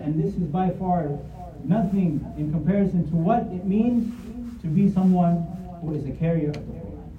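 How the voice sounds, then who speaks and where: A man giving an earnest religious lesson, repeating his points for emphasis and reciting verses in Arabic. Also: high-pitched. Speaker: Islamic lecturer. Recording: tinny, echoey, distant phone-like sound.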